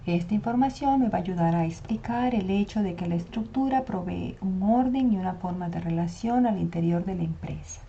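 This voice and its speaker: A woman teaching a university class, with a very dark, mellow voice. She works through her points methodically and asks questions that she then answers herself. The audio is dull and lo-fi. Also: on the low side, brisk, vocal fry, few pauses, slight background noise. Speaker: university lecturer. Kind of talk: online lecture with slides.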